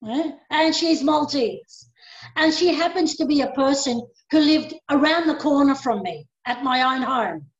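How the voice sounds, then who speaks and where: A pastoral care sister with a high, bright-sitting voice talks with lively emphasis. She acts out little exchanges as she goes. The recording is roomy and muffled. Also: unhurried. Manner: emphatic and animated, acts out conversations. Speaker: pastoral carer and religious sister. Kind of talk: panel discussion. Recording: echoey dull-sounding room.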